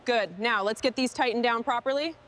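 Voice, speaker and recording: A woman's voice: on the high side, fairly quick, lightly acted. A woman playing a determined mechanic who leads a machinery crew, speaking in terse, focused muttering and commands. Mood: determined, commanding. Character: determined hands-on female mechanic leading a heavy machinery restoration crew. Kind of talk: scripted workplace dialogue with muttering and commands. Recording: loud machinery and vehicle noise behind.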